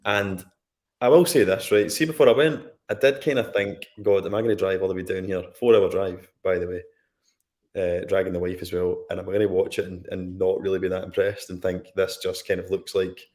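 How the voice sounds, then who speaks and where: A man from Scotland with a Scottish accent, a guest on a film podcast, telling a story in a relaxed conversational way with asides. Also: low voice. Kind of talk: casual chat on a live stream.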